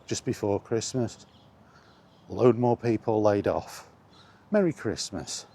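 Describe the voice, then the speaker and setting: A man's voice: on the low side, expressive, takes it slowly, vocal fry, mellow, husky. A man chatting casually and bluntly in a rambling, resigned way, as a vlogger walking outdoors. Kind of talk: casual walking vlog commentary on video games.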